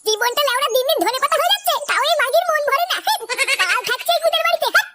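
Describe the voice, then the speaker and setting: Cartoon village rascal: tiny helium-high voice, fast talk, cocky, whiny and foul-mouthed. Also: male voice, expressive, background noise. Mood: angry. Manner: whining, crude, boastful. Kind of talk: comic cartoon dialogue.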